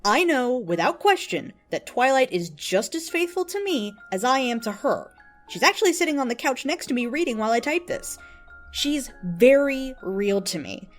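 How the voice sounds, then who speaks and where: Lady reading as a super earnest lovesick devotee, very animated, theatrical, music playing behind. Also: high voice, normal speed. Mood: firm, aggrieved. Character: earnest, lovestruck, indignant devotee of a cartoon pony. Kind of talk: dramatic reading of an open letter.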